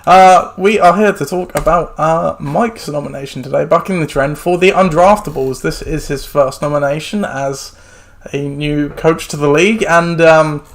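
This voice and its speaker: Man hosting a casual sports podcast. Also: on the high side. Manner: chatty, rambling with many fillers. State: losing his voice. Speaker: podcast host.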